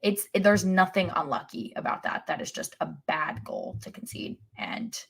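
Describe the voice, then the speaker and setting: A woman's voice: lowish voice, expressive, moderate pace, slightly rough. A woman co-hosting a soccer podcast, giving casual but emphatic and opinionated match analysis.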